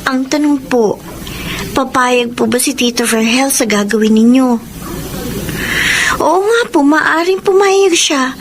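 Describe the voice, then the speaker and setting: Selfless young granddaughter, high voice, earnest, respectful, radio drama, noisy background. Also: female voice, slow, long pauses. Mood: earnest, gently firm. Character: dutiful, selfless young working granddaughter and daughter. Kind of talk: emotional radio drama acting.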